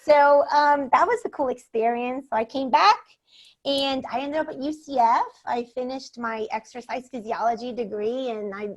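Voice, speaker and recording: A woman from Venezuela whose first language is Spanish, talking on a podcast. Her voice sits a little high, and she tells her story in an animated way, laughing often and acting out what people said. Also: normal speed. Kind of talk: casual storytelling chat.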